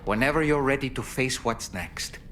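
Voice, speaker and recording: Male actor as caring comrade in a game cutscene, medium pitch, grave and sincere with deliberate pauses, slight background noise. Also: fairly bright tone, lightly acted. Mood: solemn, sincere. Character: solemn, caring male confidant urging a comrade onward. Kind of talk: scripted game cutscene dialogue.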